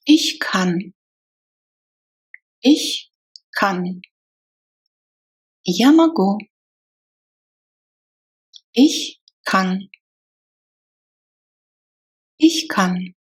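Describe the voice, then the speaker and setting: Slow, breathy woman drilling phrases. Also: medium pitch, animated, rough recording. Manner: repeats each phrase slowly, then gives the translation. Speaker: language teacher.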